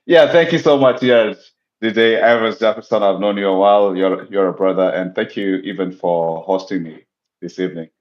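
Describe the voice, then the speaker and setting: Man, low voice, echoey lo-fi call. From Kenya. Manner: measured, reflective, explanatory. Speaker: guest, business owner. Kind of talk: casual interview talk. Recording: echoey, dull lo-fi audio.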